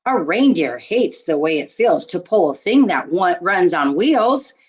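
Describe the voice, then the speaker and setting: Female teacher-type storyteller, unhurried, expressive, widely varied pitch, for rhyming picture books. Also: breathy edge. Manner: expressive, deliberate storytelling with short asides to listeners. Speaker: teacher reading aloud to children. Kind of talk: children's picture book read-aloud in rhyme.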